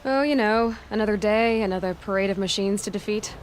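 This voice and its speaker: A woman's voice: mid-range voice, fairly quick, slight background noise, natural voice, low-quality recording. An actress playing a plain-spoken young woman hunter in a video game, talking in a casual, direct, wry way. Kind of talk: naturalistic video game acting.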